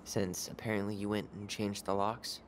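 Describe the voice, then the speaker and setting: A man's voice: fairly low pitch, little pitch movement, moderate pace, a little hiss or hum, close to an everyday voice. An actor playing a guarded, sardonic young man in a video game, speaking in a dry, clipped, wry way. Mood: sardonic, bitter. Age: young adult. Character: guarded, sardonic young man, bitter about his past. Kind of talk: naturalistic video game acting.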